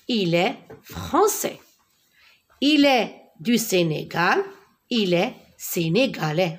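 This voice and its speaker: A woman's voice: mid-range voice, husky. A woman with a Moroccan accent teaching a primary school French lesson, repeating words and spelling out letters one by one.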